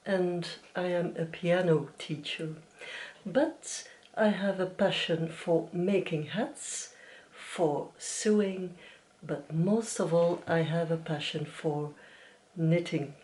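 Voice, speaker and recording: An older woman from Belgium hosts her crafting podcast in English, her native Dutch showing in the accent. She speaks very slowly in a mellow, slightly low voice, hesitating and correcting herself as she explains. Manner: measured, with frequent hesitations and self-corrections. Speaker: knitting podcast host. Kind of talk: slow, careful casual talk to camera.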